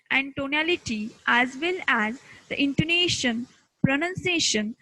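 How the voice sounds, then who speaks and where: Female lecturer, Indian accent, bright forceful tone, dry close sound, deliberate delivery. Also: high voice, no echo, low-quality recording. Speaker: teacher. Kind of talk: instructional lecture.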